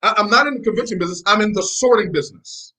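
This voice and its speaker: A man's voice: high voice, very fast, sharp tone. Emphatic man with American-Nigerian accent. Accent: mix of American and Nigerian. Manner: emphatic, repetitive, preachy, quizzing listeners. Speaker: network marketing trainer. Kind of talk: motivational training talk.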